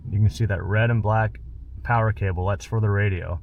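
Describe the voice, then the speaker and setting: A man's voice: lowish voice, brisk, creaky, muffled recording. A man giving a casual, hands-on how-to, plain-spoken and matter-of-fact as he goes step by step. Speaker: host of a truck DIY install video. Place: in and around a pickup truck. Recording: steady background noise.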